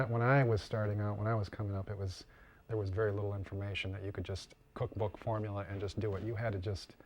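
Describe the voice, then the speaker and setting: A man with a fairly low voice and very little melody explains his craft in an informal interview. He speaks haltingly, circling back to repeat and rephrase as he goes. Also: darkish tone. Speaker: interviewed costume and prop maker.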